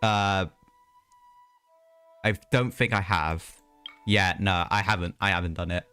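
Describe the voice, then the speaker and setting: Casting: male game streamer, lowish voice, casual rambling chat, music playing behind him. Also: fairly even intonation, a little slow, pushed and bright, clean tone. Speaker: live streamer. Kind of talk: casual gaming livestream chat.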